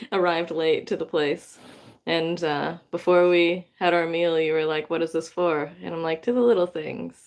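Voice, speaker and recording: Low-voiced chatty woman. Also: fairly even intonation, unhurried, darkish tone. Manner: chatty, finishes the host's sentences. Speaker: co-host. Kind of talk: casual livestream chat.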